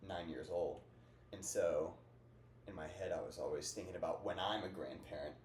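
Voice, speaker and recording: A man's voice: low voice, moderate pace, a little mellow. A young man in his twenties hosting a casual podcast chat, rambling and upbeat, full of fillers. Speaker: podcast host. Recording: echoey room with faint noise.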